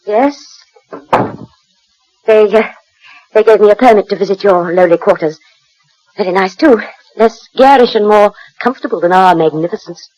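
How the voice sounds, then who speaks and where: An actress playing a composed, world-weary betrayed wife in a 1940s radio drama, speaking in a measured way. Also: female voice, mid-range voice, a little mellow, close to an everyday voice. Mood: coolly ironic. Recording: muffled 1940s radio recording.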